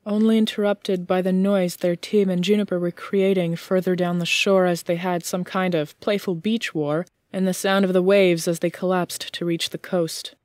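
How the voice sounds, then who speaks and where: A woman narrates fiction in a slightly low voice. Her delivery is measured and literary, recorded dry with no room sound. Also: flat delivery, darkish tone, no room sound. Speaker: audiobook narrator.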